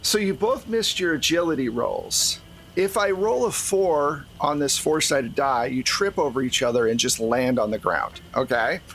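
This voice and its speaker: A man's voice: medium pitch, animated, measured pace, fairly bright tone, low-quality recording. A man acting as game master, narrating a tabletop role-playing game to the players. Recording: faint background noise.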